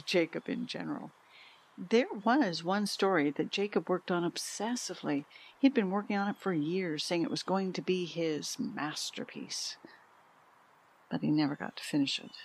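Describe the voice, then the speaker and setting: An actress playing a candid, troubled middle-aged mother in an audio drama, speaking with a husky voice. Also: lowish voice, normal speed, slight background noise. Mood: fond, reflective. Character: candid, troubled mother confiding about her peculiar son. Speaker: interviewee.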